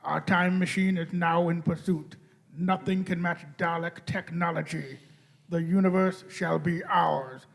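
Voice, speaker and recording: Grandiose conquering villain, acted by a man, high-pitched, cold and declamatory, slow deliberate orders, radio drama. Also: steady tone, gravelly. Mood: cold, triumphant. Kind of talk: live staged radio play.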